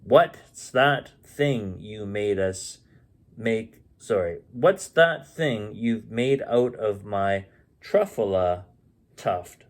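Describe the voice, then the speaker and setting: A man voicing a small, oldish, mossy creature from a storybook, bossy and indignant, declaiming in a sharp voice. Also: fairly low pitch, lively intonation, very slow, voice pushed down, full character voice. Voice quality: sharp, sawdusty.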